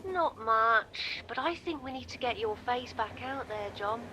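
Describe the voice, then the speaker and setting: An actress playing a breezy, gushing talent agent in an audio drama. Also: female voice, fairly high pitch, animated, unhurried, noisy background. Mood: breezy, coaxing. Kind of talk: audio drama phone dialogue.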